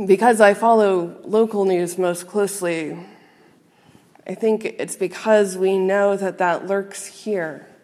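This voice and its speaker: A woman minister giving a sermon to a congregation, speaking in a measured, earnest and reflective way with pauses. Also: mid-range voice, darkish tone. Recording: long reverb of a large hall.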